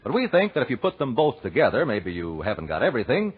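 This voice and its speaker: A man announcing on 1940s radio with emphatic, polished salesmanship. His intonation is very lively and his tone a bit rough. The old recording sounds dull. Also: mid-range voice, quick pace. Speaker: radio announcer and sponsor pitchman.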